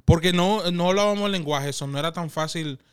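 Animated Dominican man telling stories. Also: a little high, a little fast, clean tone. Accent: Dominican Spanish. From Dominican Republic. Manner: animated, laughs often, quotes others in lively voices. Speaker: guest. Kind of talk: casual interview storytelling.

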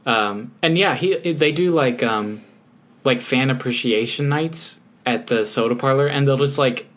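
A man co-hosts a podcast in relaxed, casual conversation. He speaks in an easygoing way, often pausing on "um" before he carries on. His pitch is in the middle range for a man. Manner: easygoing, halting with ums. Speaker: podcast co-host. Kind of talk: casual chat.

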